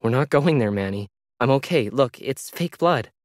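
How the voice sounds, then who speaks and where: A man's voice: medium pitch, lively intonation, moderate pace, no room sound, studio quality, voice pushed up, lightly acted. A man voicing a determined, pleading woman warehouse worker in an urgent, insistent way for an audio story. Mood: reassuring.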